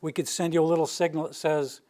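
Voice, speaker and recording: An older man near retirement, from the Rocky Mountain region, giving an informal public presentation in a meeting room with a steady, monotone delivery. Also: on the high side, fast talker. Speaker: utility planning director giving a public presentation. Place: meeting room with a live audience.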